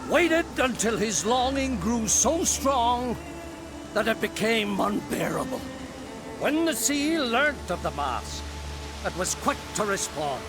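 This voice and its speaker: An actor playing a proud, stern older scholar in a game cutscene, telling a legend in a measured, grave way. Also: male voice, extremely high pitch, full character voice. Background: game score music.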